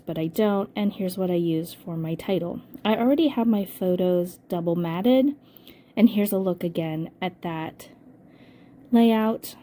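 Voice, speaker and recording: An older woman with a hoarse voice from a cold, casually narrating a scrapbooking voice-over and thinking aloud with frequent ums. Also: mid-range voice, dark tone. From United States. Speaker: scrapbooking channel host.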